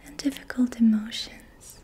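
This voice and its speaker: A young woman whispering breathily in a soothing, gentle ASMR style as she guides an energy healing session. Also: on the high side, fairly even intonation, a little slow, husky. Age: young adult. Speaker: ASMR reiki practitioner. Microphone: close mic.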